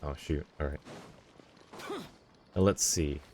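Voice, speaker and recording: A man with a low voice gives casual livestream commentary on a game. He talks informally and thinks aloud, with faint noise behind him. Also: fairly even intonation, slow pace. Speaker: game streamer.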